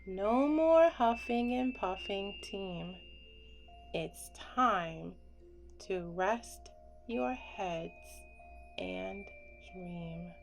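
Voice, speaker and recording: A woman reading a children's picture book aloud as a bedtime story, expressive and gentle, with deliberate phrasing. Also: mid-range voice, vocal fry, music in the background.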